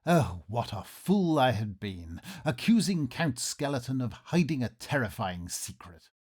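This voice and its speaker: A suspicious Victorian nobleman in a comic radio play. His delivery is formal and melodramatic, with a highly animated pitch. He sounds like an uneasy guest telling his own tale. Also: unhurried, breathy edge. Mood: brooding narration. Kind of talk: old-time radio theatre comedy acting.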